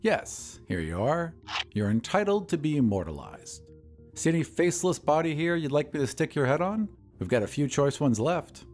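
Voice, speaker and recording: A man's voice: on the high side, sing-song, normal speed, darkish tone, soft background music. A man voicing a gloomy, scornful old painter who talks with bitter sarcasm. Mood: sardonic.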